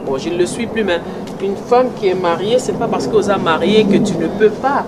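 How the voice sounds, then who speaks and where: A middle-aged woman from Congo with a Congolese French accent and a slight North American tinge, talking animatedly and switching between French and Lingala in a street interview. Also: a little fast. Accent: Congolese French with a slight North American English tinge. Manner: animated, emphatic, pitch jumps high when insisting. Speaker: pageant runner-up interviewed about her project. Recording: loud street noise with passing vehicles. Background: traffic and street noise.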